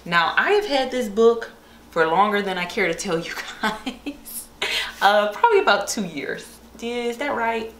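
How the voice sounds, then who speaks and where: A woman's voice: medium pitch, animated, unhurried, a bit bright. A young woman chatting casually and enthusiastically to camera about things she likes, in an informal book vlogger's style. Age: young adult. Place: small bare-walled room indoors. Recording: echoey room with faint background hiss.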